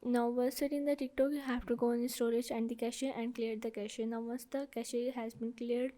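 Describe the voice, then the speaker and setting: Female tutorial presenter, higher register, soft-edged mellow tone, walking viewers through steps with repeated instructional phrasing. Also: level tone, moderate pace. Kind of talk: step-by-step screen tutorial narration.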